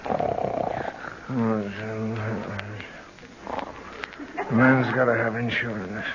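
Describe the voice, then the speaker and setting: A man's voice: medium pitch, gravelly. A man playing a sleepy, long-suffering father in a radio comedy, groaning and sputtering with weary exasperation. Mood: sleep-mumbling. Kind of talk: 1940s radio comedy acting. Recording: muffled, hissy old radio recording.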